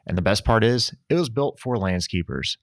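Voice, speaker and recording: Low-pitched man reading a podcast advert. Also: lively intonation, moderate pace, no room sound, clean studio sound. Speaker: sponsor ad reader. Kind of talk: scripted ad read.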